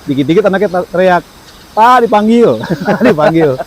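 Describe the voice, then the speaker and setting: A man's voice: high-pitched, expressive, poor audio. A man, hosting a casual chat at an outdoor campsite in a friendly, joking way. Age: 30s. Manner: friendly, joking, quick to agree. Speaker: campsite host.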